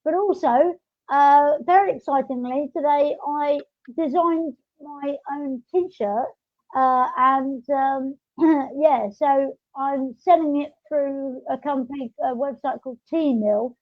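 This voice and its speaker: A woman's voice: sits high, steady tone, slow, dry recording. A woman hosting a podcast in casual, chatty, rambling talk over an online call. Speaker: podcast host. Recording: dull lo-fi online call audio.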